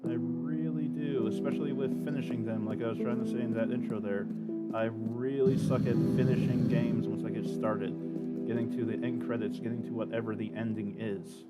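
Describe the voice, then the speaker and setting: A man's voice: medium pitch, fairly even intonation, normal speed, loud music behind the voice. A man streaming a game from home, talking in a rambling, hesitant, self-deprecating way. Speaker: gaming streamer. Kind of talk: casual gameplay commentary.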